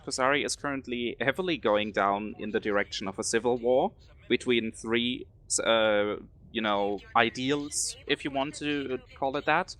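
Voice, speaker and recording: A German-accented man answers questions in a casual chat. His voice is bright and forward. He pauses often and fills the gaps with ums. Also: medium pitch. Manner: hesitant, many ums, laughs easily. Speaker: guest, faction leader.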